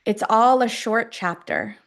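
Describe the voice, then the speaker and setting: A woman leading a guided affirmation meditation, speaking deliberately in short phrases with long pauses between them. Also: on the high side, fairly bright tone. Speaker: guide of a self-affirmation tapping session.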